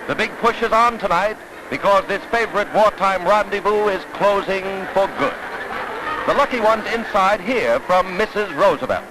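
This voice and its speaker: High-pitched 1940s American newsreel announcer man. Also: expressive, normal speed, background noise. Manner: declamatory, dramatic announcer delivery. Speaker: newsreel narrator. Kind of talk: 1940s newsreel scripted narration. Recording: vintage 1940s newsreel soundtrack. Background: orchestral newsreel music.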